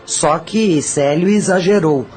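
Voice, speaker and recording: A woman's voice: lowish voice, slow, darkish tone, a little hiss or hum, rough recording. Woman playing a tormented former madam, confessing coldly. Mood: cold confession. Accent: Brazilian. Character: tormented female spirit, former brothel madam, cold and regretful. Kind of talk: Portuguese-language audio drama acting.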